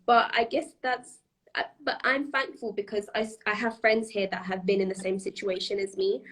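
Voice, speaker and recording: A woman's voice: mid-range voice, flat delivery, moderate pace, a bit bright. A young woman from Iran, a podcast guest, talking casually with lots of fillers, restarts and laughter. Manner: chatty, laughs often, many fillers and restarts. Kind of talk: casual interview chat.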